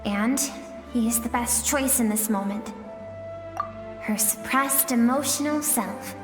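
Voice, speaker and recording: Game role: warrior woman's buried emotional self, solemn, earnest, wistful, unhurried delivery, music underneath. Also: a little high. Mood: earnest, confronting. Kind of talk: dramatic video game voice acting, storytelling monologue. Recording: echoey reverberant hall effect, music behind.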